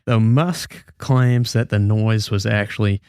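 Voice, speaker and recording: A man's voice: on the low side, steady tone, slow pace, darkish tone, clean tone, dry recording, studio quality. A man hosting a business news podcast in an informal, playful, conversational way. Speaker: podcast host.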